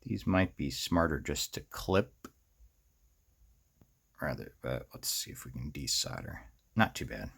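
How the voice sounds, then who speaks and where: A man talking through hands-on work in an unscripted tutorial, musing out loud in fragments as the host. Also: rumbling low, big pitch swings, unhurried, vocal fry, pauses often, husky. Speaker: host of a DIY circuit bending video series. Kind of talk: unscripted hands-on tutorial commentary while working.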